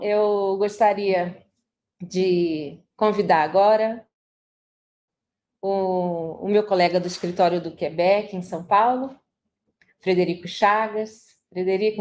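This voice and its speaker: Relaxed woman moderating an online talk. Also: medium pitch, slow. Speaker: webinar moderator.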